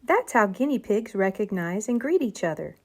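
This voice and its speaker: A woman voicing a gentle, reasoning female primary school teacher who explains things patiently in a children's storybook. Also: mid-range voice, big pitch swings, moderate pace. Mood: reassuring, explaining.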